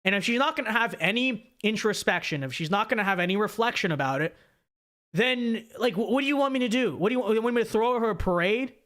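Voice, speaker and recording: A man's voice: high-pitched, expressive, fast talker, fairly bright tone. A young man hosting a livestream, giving opinionated commentary with many restarts and reading viewer super chats, his voice scratchy and sore. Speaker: livestream host.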